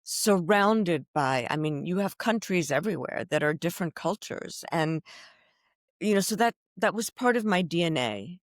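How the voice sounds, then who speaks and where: A woman's voice: lowish voice, normal speed, dry recording, studio quality. A middle-aged woman from Belgium, chatting expressively as a podcast guest. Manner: expressive, chatty, restarts a lot. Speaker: wedding officiant guest. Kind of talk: casual interview chat.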